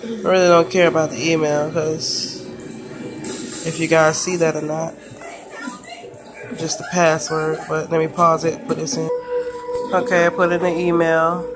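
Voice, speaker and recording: A woman casually narrating a phone screen recording, flatly describing a sign-in problem and asking viewers for help. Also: low-pitched, unhurried, long pauses, over music. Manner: flat, frustrated help request. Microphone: phone camera filming a handheld screen.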